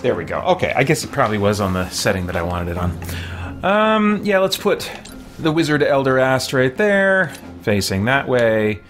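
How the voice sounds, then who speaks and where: A man's voice: medium pitch, big pitch swings, moderate pace, music in the background. A man giving casual let's-play commentary as a gaming host, rambling and thinking aloud as he goes. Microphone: microphone with a fan nearby.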